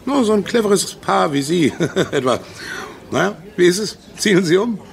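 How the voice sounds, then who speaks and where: A man's voice: high voice, lively intonation, moderate pace, vocal fry. An actor playing a brash, jovial middle-aged salesman and war veteran in a radio drama, chatty and crude-tongued. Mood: jovial, pushy. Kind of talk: German radio crime drama acting.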